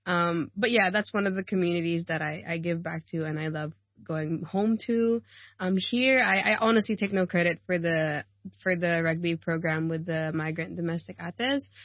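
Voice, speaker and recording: A woman in her thirties, a rugby player and coach, chatting as a guest in a radio studio, warm and laughing often, with many ums and likes. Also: on the low side, steady tone, moderate pace, dry recording, dull audio. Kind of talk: casual radio interview. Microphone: studio mic.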